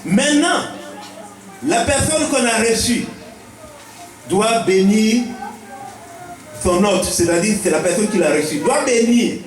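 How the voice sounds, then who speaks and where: A man's voice: high-pitched, animated, slow, pauses often. A man with an Ivorian accent giving a preaching-style welcome speech as a village chief, teaching point by point and repeating key phrases. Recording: echoey, picked up from a distance.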